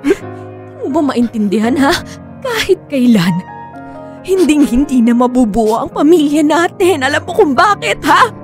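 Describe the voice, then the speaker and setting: High-pitched, defiant, fed-up wife. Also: female voice, very animated, a little slow. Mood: angry, accusing. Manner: emphatic, scolding, emotional. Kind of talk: radio drama acting. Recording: music bed behind the voice.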